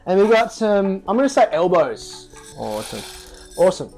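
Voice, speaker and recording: An Australian man talks through a recipe at the stove, his tone cheerful and playful. He sounds enthusiastic as he explains each step. Soft music sits low in the background. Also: sing-song, normal speed, gravelly, rough recording. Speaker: chef and restaurant co-founder. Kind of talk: cooking demonstration chat. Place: private restaurant kitchen.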